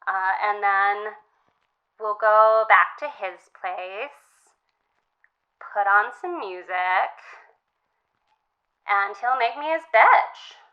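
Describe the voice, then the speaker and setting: A woman's voice: medium pitch, drawn-out words, long pauses, highs cut off. A woman playing an eager, naive, cheeky sixteen-year-old girl in an improvised comedy phone-in, chatty and unabashed. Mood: dreamy, eager. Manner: chatty teenage filler talk, earnest and unabashed.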